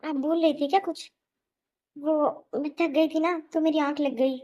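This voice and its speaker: A woman's voice: high-pitched, steady tone, measured pace, darkish tone, highs cut off. A woman voicing a weary, hurt young daughter-in-law who pleads plaintively in a melodramatic cartoon. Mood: hurt, pleading. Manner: plaintive, pleading, self-pitying. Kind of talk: melodramatic Hindi cartoon voice acting.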